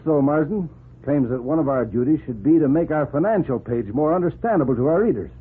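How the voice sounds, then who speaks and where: An actor in a 1940s radio drama playing a gruff but approving newspaper editor, emphatic and bossy. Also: male voice, on the high side. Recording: narrow old 1940s radio recording.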